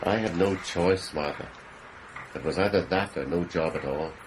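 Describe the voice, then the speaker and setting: An actor playing a middle-aged, plain-spoken, defensive British working husband in a radio drama. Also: male voice, deep voice, moderate pace, noisy background, lightly acted. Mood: reminiscing.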